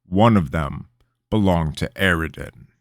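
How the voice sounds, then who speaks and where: A solemn royal advisor reports bad news with measured formality, as a male narrator voices him. His voice is low and unhurried. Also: no echo, studio quality. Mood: grave.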